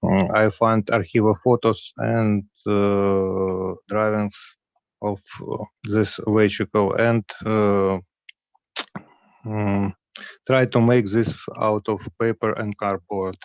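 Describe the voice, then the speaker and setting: A man with a Ukrainian accent speaks very slowly and haltingly, pausing often with nervous fillers. His low voice is gravelly yet smooth. The call audio is dull and lo-fi. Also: little pitch movement, no room sound. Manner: hesitant, many pauses and fillers, nervous. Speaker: guest modeler. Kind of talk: halting show-and-tell on a video call. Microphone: video call.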